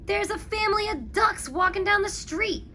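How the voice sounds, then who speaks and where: A woman voicing an urgent storybook policeman who calls for help in an excited, emphatic yell. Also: very high-pitched, normal speed, a little hiss or hum, pitched above the performer's own voice.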